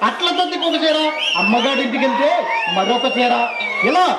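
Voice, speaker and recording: Casting: male actor as brash opinionated suitor, very high theatrical voice, declamatory, echoing stage with loud audience. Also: lively intonation, brisk, over a noisy crowd. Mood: mocking, exasperated. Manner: declamatory, rhetorical list-making delivery. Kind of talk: Telugu stage play acting. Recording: echoey stage hall with noisy audience.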